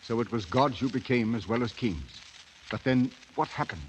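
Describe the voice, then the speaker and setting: Actor as a medium-pitched, probing gentleman. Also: animated, normal speed, lightly acted. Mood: concerned, probing. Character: concerned, probing gentleman listening to a broken traveller's tale. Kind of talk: 1950s radio drama acting. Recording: dull, faintly noisy 1950s radio recording.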